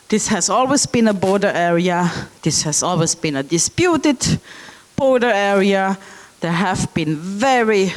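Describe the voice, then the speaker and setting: A woman from South Tyrol giving a public lecture with slides, speaking slowly with frequent ums and check-in okays. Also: medium pitch, low-quality recording. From South Tyrol, Italy.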